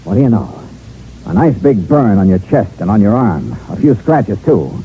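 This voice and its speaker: A man's voice: fairly low pitch, very animated, normal speed, slight background noise. An American actor playing a hard-boiled, dogged male insurance investigator in a 1950s radio drama, clipped and dry. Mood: hard, confronting. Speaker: lead actor and narrator. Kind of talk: 1950s radio detective acting, first-person narration. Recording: muffled old radio broadcast with music.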